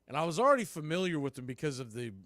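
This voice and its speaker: A man's voice: on the high side, very animated, rapid-fire, a bit bright, dry recording, clean studio sound. A man calling baseball play-by-play, rising sharply on big plays. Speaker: play-by-play announcer. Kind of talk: radio play-by-play commentary.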